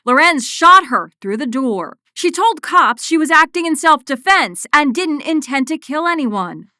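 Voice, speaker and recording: A woman news reporter narrating a story with a brisk, polished news delivery. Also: high-pitched, sing-song, pushed and bright, no echo, studio quality.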